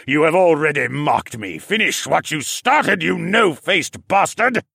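A man voicing a hulking, half-blind reptilian male regent, bellowing in a slow, menacing, deliberate way. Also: medium pitch, sing-song, gravelly, fairly bright tone, breathy edge, dry recording, studio quality, voice pushed up, heavily acted. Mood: enraged.

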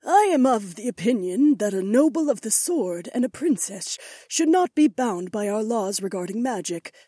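A woman's voice: fairly high pitch, animated, moderate pace, warm tone, slightly rough, dry recording, clean studio sound. A woman playing a stern, imperious queen and mother questioning her court in an audiobook drama. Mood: measured approval.

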